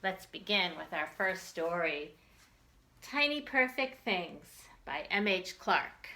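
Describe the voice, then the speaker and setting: A woman's voice: fairly low pitch, animated, slow, pushed and bright. A woman hosting a storytime for young children, reading aloud in simple phrases and putting questions and asides to her little listeners. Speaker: children's librarian storytime host.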